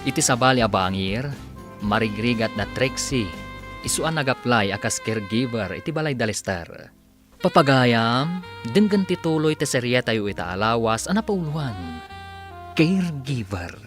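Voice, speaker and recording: A man narrating a radio drama as its announcer, with emphatic, drawn-out titles. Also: mid-range voice, animated. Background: music.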